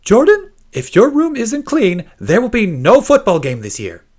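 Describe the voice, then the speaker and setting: A man's voice: high-pitched, huge pitch swings, normal speed, a little mellow, voice pushed up, full character voice. A man voicing a stern, exasperated mother who lays down rules in an emphatic, scolding way.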